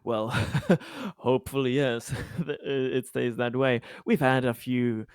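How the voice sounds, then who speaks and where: A polite, considerate colonist, played by a man, speaks slowly and haltingly in improvised tabletop roleplay. The voice is clean and smooth. The sound is close and dry. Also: medium pitch, no echo. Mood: tentative, friendly.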